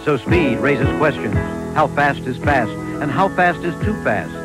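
A man narrating a sports television feature from a script, with measured, dramatic storytelling. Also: medium pitch, expressive, loud music behind the voice. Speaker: sports documentary narrator and host.

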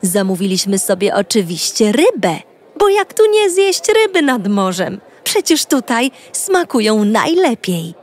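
Slow, gentle Polish storyteller woman. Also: a little high, big pitch swings. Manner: narrating as a cheerful young girl in first person. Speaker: audio storyteller. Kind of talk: children's audio story narration.

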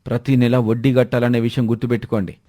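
A man's voice: mid-range voice, level tone, fast, warm tone, natural voice. A man voicing a blunt village moneylender who scolds and demands repayment. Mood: scolding.

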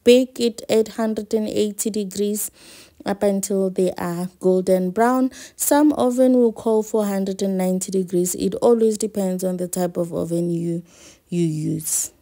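A woman talks through her baking in a home kitchen, picked up by a camera resting on the counter. She speaks slowly and chattily, thinking aloud. Her voice is soft, mellow and dark. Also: mid-range voice. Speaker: home cook vlogger. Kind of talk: casual cooking narration.

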